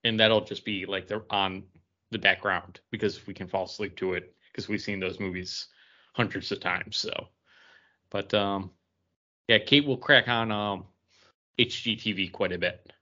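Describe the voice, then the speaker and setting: A man with a bright, tense edge to his mid-pitched voice chats on a podcast. He tells personal anecdotes casually. The sound is slightly lo-fi. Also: steady tone, a little slow. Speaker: podcast host. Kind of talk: casual chat, telling anecdotes.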